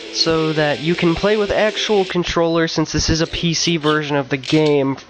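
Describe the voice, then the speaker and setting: A young man talks through a stuffy, congested nose while getting over a cold, his voice sitting a little high. He reads a scripted review in an easygoing, slangy, excited way. Loud metal music plays under him. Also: poor audio. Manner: casual, slangy, enthusiastic. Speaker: video game reviewer.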